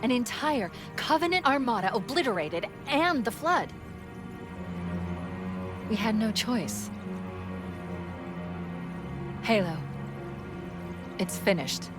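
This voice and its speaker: A brisk, loyal female shipboard AI in a sci-fi game cinematic. Her delivery is crisp and wry, with a very mobile pitch. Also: big pitch swings, loud music behind the voice. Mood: somber, reflective. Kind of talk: cinematic video game acting.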